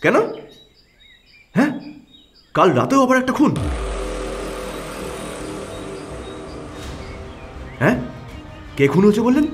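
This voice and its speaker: A keen, brooding private detective in an audio drama. He probes and stresses his points in a fairly high, very animated voice, with soft music underneath. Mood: surprised, urgent.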